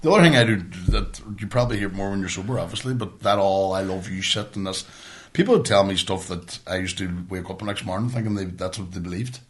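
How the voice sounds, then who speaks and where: A Northern Irish man in his forties with a fairly low voice talks casually as a podcast host. He tells stories in colloquial, sweary language, as if talking to a friend. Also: quick pace. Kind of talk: casual chat.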